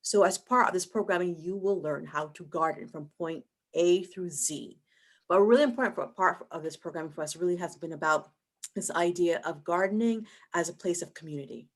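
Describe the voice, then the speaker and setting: A woman from Barbados speaking as a museum panelist on a video call, thoughtful and measured, often pausing with "um" and "and so". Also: on the low side. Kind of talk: online panel discussion.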